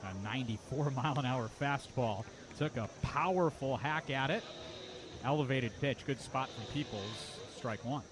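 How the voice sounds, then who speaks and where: A man calling live baseball on the radio as play-by-play announcer, switching between clipped pitch calls and flowing commentary. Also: normal speed. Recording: clear outdoor ballpark noise.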